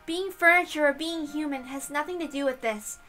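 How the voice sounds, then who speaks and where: A woman's voice: sits high, fairly quick, pushed and bright, soft background music, pitched above the performer's own voice. A woman voicing an earnest, insistent young female servant with a big-sisterly air, in a visual novel reading. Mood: earnest, hopeful. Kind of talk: visual novel read-aloud character voice.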